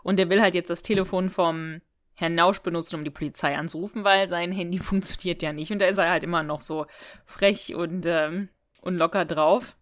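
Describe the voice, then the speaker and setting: A woman's voice: fairly low pitch, steady tone, brisk, no room sound. A woman co-hosting a podcast, chattily retelling and reviewing a story in a casual, giggly way. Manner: chatty, giggly, acting out quoted lines. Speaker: podcast co-host. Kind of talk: casual chat retelling and reviewing an audio drama episode.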